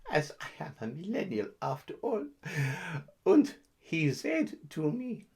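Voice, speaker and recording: A woman playing a boastful, lazy self-styled guru, telling a mock-grand story in a slow drawl with made-up words. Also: high voice, warm tone, exaggerated voice. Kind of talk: comedic character monologue.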